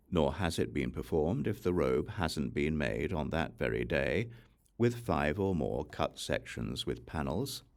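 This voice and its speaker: Male audiobook reader for scripture: low, creaky voice, varied intonation, deliberate scripted delivery. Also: darkish tone. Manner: measured, deliberate reading. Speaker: audiobook narrator. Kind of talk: scripted narration of a religious text.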